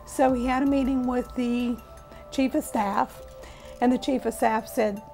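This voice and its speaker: A woman in her fifties from Florida with a hoarse, raspy voice, telling her own life story in a plain, unhurried way. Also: a little high, fairly even intonation, warm tone, soft background music. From Florida, USA. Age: 50s. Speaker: testimony speaker.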